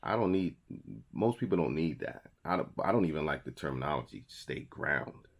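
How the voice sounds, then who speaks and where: Very deep-voiced New York man. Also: animated, moderate pace, gravelly, breathy edge. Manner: conversational, emphatic, repeats key phrases. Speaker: host giving a weekly motivational talk. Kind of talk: motivational talk to camera. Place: small indoor studio with a logo wall.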